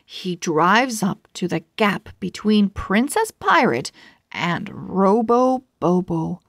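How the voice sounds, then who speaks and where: A woman narrates a children's book at a very slow pace. Her phrasing is deliberate and measured, made for young readers. The recording is dry and close, and her pitch sits in a middle range. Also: lively intonation, no echo. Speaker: children's book read-aloud host. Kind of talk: read-aloud story narration for children.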